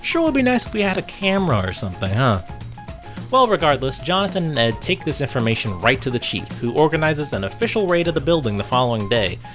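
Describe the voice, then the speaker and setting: A man's voice: fairly high pitch, sing-song, fast, fairly bright tone, faint music behind. A man narrating a casual scripted video game retrospective, with wry, sarcastic asides.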